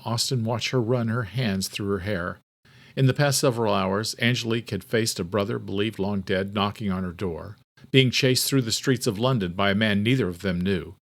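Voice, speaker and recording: A man's voice: lowish voice, gravelly. A man reading scripted, dramatic narration for an audiobook.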